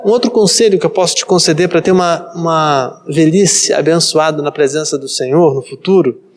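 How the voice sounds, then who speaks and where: Pastor guy in his 30s from brazil preaching, fairly high voice, keeps repeating lines to drive it home. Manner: preacherly, repeats phrases for emphasis. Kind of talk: sermon.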